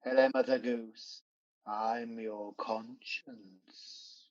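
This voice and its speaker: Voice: medium pitch, level tone, drawn-out words, darkish tone. An actor playing a scheming pantomime demon king in a radio play, sly, theatrical and coaxing, on a dull recording.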